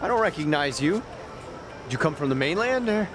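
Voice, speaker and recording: A man's voice: fairly high pitch, very animated, a little fast, over music. An actor playing a cynical, wry, streetwise local man in an English-dubbed video game, chatty and given to sardonic explanations.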